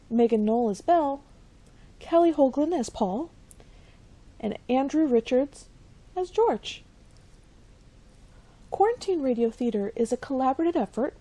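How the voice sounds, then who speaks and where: Announcer, female: soft dark tone with a husky edge, reading scripted radio credits. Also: fairly high pitch.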